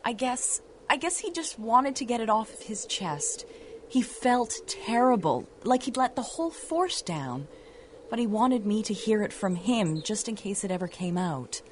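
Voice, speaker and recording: A woman's voice: fairly high pitch, animated, measured pace, slight background noise. A woman playing a tender, earnest young sweetheart in a 1930s-style radio drama. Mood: regretful, tender.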